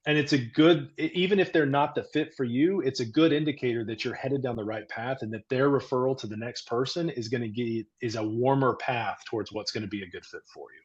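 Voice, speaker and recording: A man working as a therapist, a guest on a casual podcast chat, talking earnestly in a loud, booming voice and explaining things through everyday comparisons. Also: a little fast, few pauses, highs cut off.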